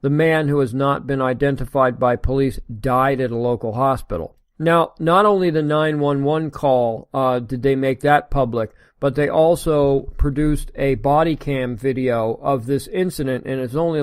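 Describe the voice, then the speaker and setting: A man's voice: mid-range voice, normal speed, hardly any pauses, clear, resonant tone, dry recording. Give me an American male podcast host with a dry, close sound who reads the news with indignant sarcasm. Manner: sarcastic, indignant asides between read passages. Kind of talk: casual solo commentary reading an article.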